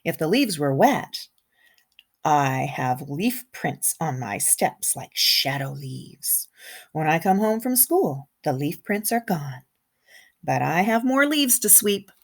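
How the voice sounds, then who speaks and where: A woman kindergarten teacher reading a picture book aloud to young children in an expressive way, with simple asides and questions. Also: low-pitched, slightly rough. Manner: animated, simple wording, pauses to comment and question.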